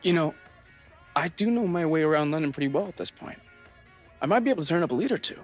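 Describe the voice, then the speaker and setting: A man's voice: on the high side, lively intonation, fast talker, faint music behind, lightly acted. An actor playing a stubborn, earnest young man in a video game, speaking casually and matter-of-factly. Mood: casual, determined. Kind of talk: video game dramatic acting.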